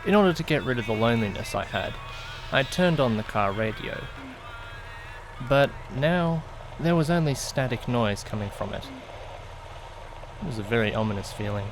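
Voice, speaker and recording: A man playing an anxious young student driver, narrating an eerie audiodrama in an expressive, brooding way with hesitant speech. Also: medium pitch, normal speed, pauses often, music in the background, close to an everyday voice, poor audio. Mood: ominous, brooding. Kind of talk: first-person dramatic narration, visual novel audiodrama.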